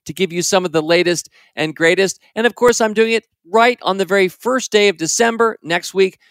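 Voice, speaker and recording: Male podcast host, higher-pitched, bone-dry studio sound, chatty mailbag reader. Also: a bit bright, dry recording. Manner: theatrical dramatic recitation of a parody poem. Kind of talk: reading a listener mailbag aloud.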